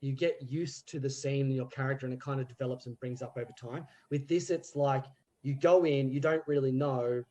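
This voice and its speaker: A man in casual podcast chat about theatre, telling stories in an animated way and laughing often. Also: medium pitch, brisk, muffled recording. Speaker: theatre group member.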